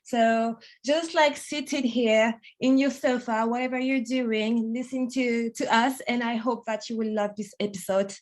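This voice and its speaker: A woman's voice: on the high side, steady tone, a little slow, few pauses. A woman in her twenties with a French accent, chatting upbeat as a podcast guest. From France. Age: 20s. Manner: upbeat, laughs often, many fillers like "like". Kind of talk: casual interview chat.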